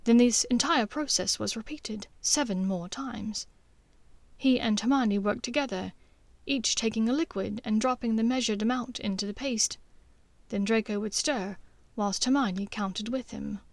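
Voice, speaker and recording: A woman reading scripted fiction as an audiobook narrator, in a measured, expressive storytelling manner. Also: a little high, dry recording.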